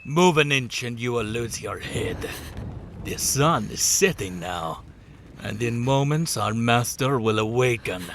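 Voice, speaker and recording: Menacing vampire servant, played by a man; slow, gravelly threats. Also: medium pitch, expressive, background noise. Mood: threatening. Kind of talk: audio drama acting.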